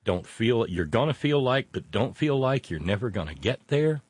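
Guy in his late 50s hosting, candid folksy talk, stop-start but emphatic, medium pitch, dry sound. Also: expressive, breathy edge, dry recording. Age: late fifties. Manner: halting, emphatic, folksy colloquial phrasing. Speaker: show host taking a call. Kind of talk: candid personal conversation.

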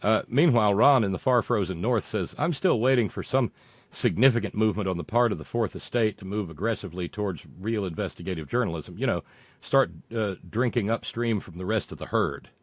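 A man hosting a show, reading viewer comments and delivering sarcastic political commentary that swings from loud rants to muttered asides, with frequent fillers and profanity. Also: lowish voice, fairly quick, no echo. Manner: mocking, builds into loud rants then drops to muttering; profane, many fillers and restarts.

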